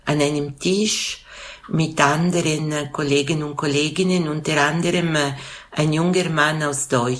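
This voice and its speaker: A woman's voice: deep voice, fairly even intonation, normal speed, roomy sound. A woman from Switzerland, a psychotherapist and author talking in an interview, hesitant with many fillers and restarts. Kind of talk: conversational interview.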